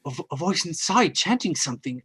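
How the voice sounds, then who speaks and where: A man plays an uneasy rescuer in a radio horror drama. His husky voice rises and falls sharply with urgent, anxious exclamations. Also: fairly high pitch, big pitch swings, fairly quick, pushed and bright, exaggerated voice. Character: anxious male companion Stan, helping the rescue. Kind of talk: old-time radio horror acting.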